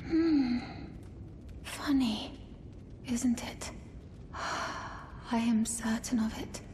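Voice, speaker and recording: An actress voicing a gentle, troubled young woman, the daughter of a manor lady, speaking in a slow, soft, breathy whisper. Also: fairly high pitch, a little hiss or hum. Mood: wondering, grateful. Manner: slow, tender, sorrowful delivery. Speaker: game character. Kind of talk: video game cutscene acting. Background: game music.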